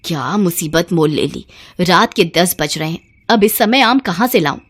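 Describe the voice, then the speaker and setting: A woman's voice: on the low side, expressive, normal speed. Woman acting an exasperated, grumbling mother-in-law. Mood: fretting. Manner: grumbling, long-suffering. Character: exasperated middle-aged mother-in-law, scheming and put-upon.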